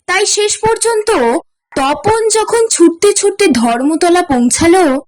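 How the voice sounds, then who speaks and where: A woman telling a ghost story as a scripted narration, in a measured storytelling manner. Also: very high-pitched, animated, a little mellow.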